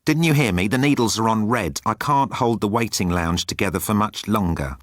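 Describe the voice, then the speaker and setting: A man's voice: on the low side, expressive, fairly quick, no echo, clean studio sound, lightly acted. A man voicing a haughty, literal-minded electronic bird in an audiobook, with clipped, formal, pedantic delivery. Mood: exasperated.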